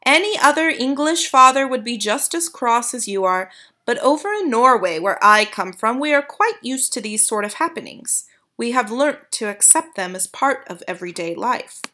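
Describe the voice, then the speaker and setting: Woman putting on a calm old grandmother, steady, measured, bright voice. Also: on the high side. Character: elderly Norwegian grandmother, calm and dry.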